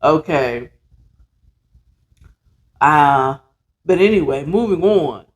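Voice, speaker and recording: Podcast host telling a story real slow, mid pitch, chatty and dramatic, slangy, cusses, repeats stuff for emphasis. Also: lively intonation. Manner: conversational and dramatic, acting out dialogue, repeating words for emphasis; blunt, slangy and profane. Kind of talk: casual, animated retelling of a story chapter with commentary.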